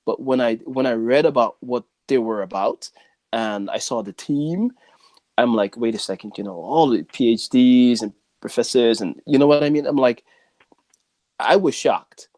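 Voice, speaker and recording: A man hosts a casual podcast interview at a medium pitch. He talks haltingly, circling back on his phrases and padding them with fillers. The recording is dry, without room echo. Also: darkish tone. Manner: halting, frequent "you know". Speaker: podcast host.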